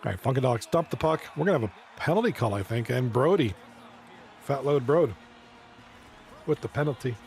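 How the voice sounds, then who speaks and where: A man with a hoarse, fading voice doing casual play-by-play commentary on a live stream, chatting with viewers between plays. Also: medium pitch, animated, a little fast, long pauses, a little mellow. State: hoarse, losing his voice. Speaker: esports league commentator.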